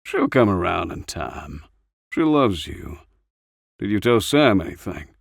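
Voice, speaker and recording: A man voicing a gruff, dutiful older male soldier with an understated, regretful manner in a story reading. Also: sits low, sing-song, unhurried, dry recording, clean studio sound, exaggerated voice. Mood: concerned.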